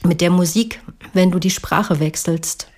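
A woman with a slightly low voice hosts the conversation and asks her guest questions with open curiosity. She talks in a relaxed, chatty way and adds her own thoughts as she goes. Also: measured pace. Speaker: interviewer. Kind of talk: conversational interview.

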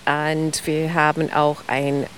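A woman's voice: sits low, level tone, slow pace, slight background noise, poor audio. Eager woman explaining at length. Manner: eager, explains at length with loose grammar and restarts. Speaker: guest expert on ice cream history. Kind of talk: interview explanation.

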